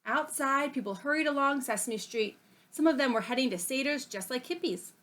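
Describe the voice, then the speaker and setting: A woman reading a children's story aloud in an expressive, animated way, as a storybook narrator. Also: high-pitched, moderate pace, slight background noise. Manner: expressive, acts out dialogue between plain narration. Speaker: storybook reader.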